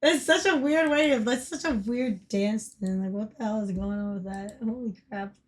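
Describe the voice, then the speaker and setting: A young woman chats casually while streaming a game, her voice pitched a little high and slightly hoarse. She giggles often and rambles, stringing thoughts together with lots of fillers. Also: normal speed. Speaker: livestreamer. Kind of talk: casual chat while gaming.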